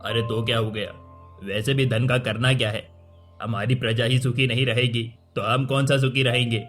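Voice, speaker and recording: A man's voice: medium pitch, level tone, moderate pace, fairly bright tone, natural voice. A man playing a principled, generous king in a cartoon story, speaking with regal authority. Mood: firm. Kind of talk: Hindi cartoon story acting. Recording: music under the voice.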